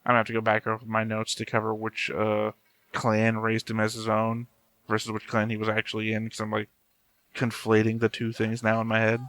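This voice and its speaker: Low, even-toned man reacting casually. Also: fairly quick, no echo. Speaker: reaction host. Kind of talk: casual off-the-cuff commentary.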